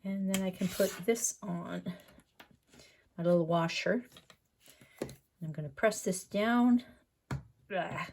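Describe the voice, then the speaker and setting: A middle-aged woman, hoarse from a cold, chatting through a step-by-step sewing tutorial with frequent fillers. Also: medium pitch, slow, pauses often, darkish tone, slight background noise. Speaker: sewing pattern designer.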